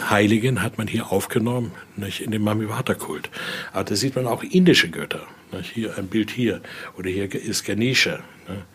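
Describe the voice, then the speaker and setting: A man's voice: fairly low pitch, fairly bright tone, husky. A man informally guiding visitors through an exhibition, telling stories and often tagging his sentences with short questions. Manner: frequent question tags at ends of sentences. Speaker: guide and collector showing a Voodoo altar exhibition. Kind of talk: informal guided tour, storytelling.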